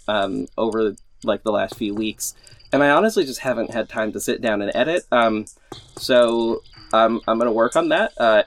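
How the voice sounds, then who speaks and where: A man hosting a podcast in an informal, rambling way, repeating words for emphasis as he gives a casual update. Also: medium pitch. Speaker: podcast host and audio drama creator. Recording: music playing behind the voice. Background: background music.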